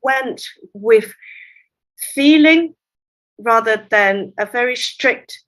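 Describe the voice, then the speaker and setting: Woman guest, cantonese first language, fairly high voice, thoughtful and chatty, explains with little laughs. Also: drawn-out words, a bit bright, low-quality recording. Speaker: literary translator, guest. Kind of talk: conversational interview.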